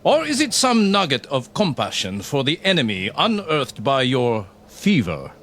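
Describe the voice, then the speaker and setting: An actor playing a ruthless, contemptuous Japanese army captain in a radio drama, commanding and cold, with deliberate, emphatic phrasing. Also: male voice, mid-range voice, huge pitch swings, a bit bright, full character voice. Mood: incredulous, mocking. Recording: music under the voice.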